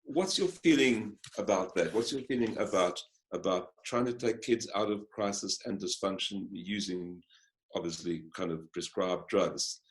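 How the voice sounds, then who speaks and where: A male interviewer on a video call with a lowish voice. He asks thoughtful questions and often pauses to rephrase them mid-sentence. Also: slight background noise, low-quality recording.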